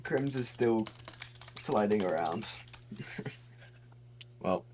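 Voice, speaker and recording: A man's voice: fairly low pitch, lively intonation, drawn-out words, a little mellow, slight background noise, poor audio. A young man from England giving casual online race commentary from his computer, chatty and laughing often.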